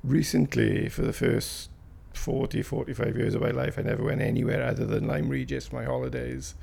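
A man's voice: medium pitch, steady tone, fairly quick, gravelly, darkish tone, husky. A man in his fifties with a South Wales Valleys accent, answering interview questions in a hesitant, rambling way with fillers and restarts. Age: 50s. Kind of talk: recorded interview.

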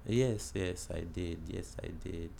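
Low, gravelly-voiced young man, hesitant. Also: slow pace, background noise. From Uganda. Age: 20s. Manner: hesitant, many fillers and restarts. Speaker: interviewee, art student. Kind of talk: casual oral history interview.

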